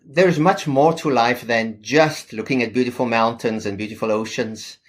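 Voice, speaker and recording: A man's voice: mid-range voice, normal speed. Man, thoughtful podcast guest. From South Africa. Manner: thoughtful, hesitant with fillers. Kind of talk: podcast interview.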